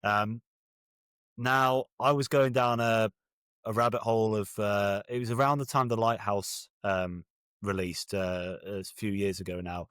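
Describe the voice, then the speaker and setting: Man, British accent, lowish voice, dry close sound, casual interview, halting, wry. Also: steady tone, fairly bright tone, no echo. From Birmingham, England. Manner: hesitant, self-correcting, wry. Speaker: guest author.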